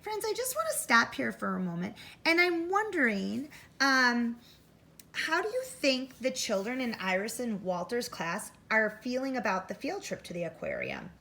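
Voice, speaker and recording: A woman's voice: a little high, huge pitch swings, normal speed, creaky, pushed and bright. A woman teaching first grade, giving an interactive read-aloud for children, thinking aloud expressively and addressing young listeners directly.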